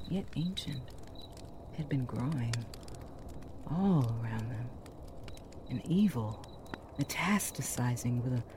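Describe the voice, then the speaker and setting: Slow, low, hushed fairytale storyteller. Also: female voice, noisy background, full character voice. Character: mysterious female fairytale storyteller, solemn and wistful. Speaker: storyteller narrator. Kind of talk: dramatic fairytale narration.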